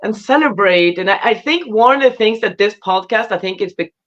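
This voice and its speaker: A woman hosting a casual live interview in an excitable, informal way. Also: mid-range voice, brisk. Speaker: podcast host. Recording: dull lo-fi online audio.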